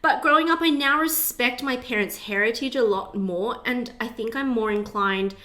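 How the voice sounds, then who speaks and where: A woman's voice: a little high, normal speed, pushed and bright. A young woman co-hosting a casual chat, laughing often with light self-mocking banter. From Australia. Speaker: podcast co-host.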